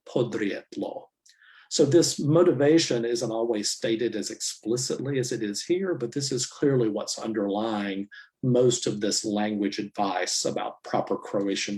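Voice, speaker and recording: A middle-aged man, a university professor, giving a public lecture in a measured, explanatory way with frequent fillers. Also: on the low side, a little mellow, poor audio. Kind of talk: academic lecture.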